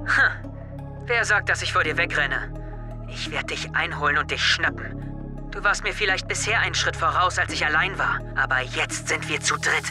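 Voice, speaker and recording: An actor playing an earnest, resolute teenage schoolboy in an audio drama about hunting a hacker. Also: male voice, a little high, normal speed, loud music behind the voice, natural voice. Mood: defiant.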